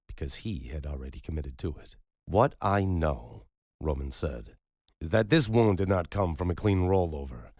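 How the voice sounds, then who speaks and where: A man's voice: low-pitched, darkish tone, dry recording, studio quality. Man voicing a clipped, stern trauma doctor. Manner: terse, clinical, stern.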